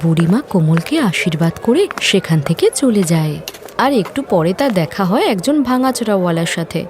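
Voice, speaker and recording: A woman's voice: mid-range voice, expressive, normal speed, over music. A woman narrating a scripted fairy tale as a storyteller.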